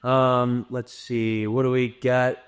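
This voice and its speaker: A man's voice: mid-range voice, little pitch movement, slow. A man co-hosting a casual interview, putting informal questions to a guest. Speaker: interviewer, co-host. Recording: clearly noisy background with clicks.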